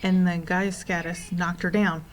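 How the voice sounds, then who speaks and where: A woman voicing an earnest, gentle boy in a storybook. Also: fairly low pitch, little pitch movement, a little slow, slight background noise, lightly acted. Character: gentle, earnest boy, protective of his friends.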